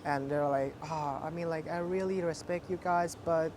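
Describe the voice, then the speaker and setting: A young woman in her twenties with a deep voice, answering interview questions in a loose, chatty way, full of fillers. Faint street noise and passing traffic hum behind her. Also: steady tone, normal speed. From Thailand. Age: 20s. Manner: chatty, many fillers, laughs often. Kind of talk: casual interview chat.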